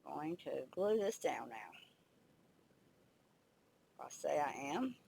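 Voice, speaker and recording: An older woman with a slight head cold and a raspy, gravelly voice, chatting casually and thinking aloud as she demonstrates a craft project. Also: deep voice, big pitch swings, takes it slowly, very noisy. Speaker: craft video host.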